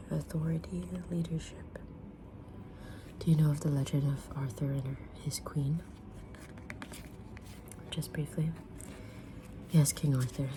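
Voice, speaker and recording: Kindly, enigmatic witch tarot reader acted by a woman, low hushed whisper, unhurried, for ASMR roleplay, over noticeable background noise. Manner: slow, gentle, deliberate whispering with pauses. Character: kind, mysterious witch fortune teller, patient and sincere.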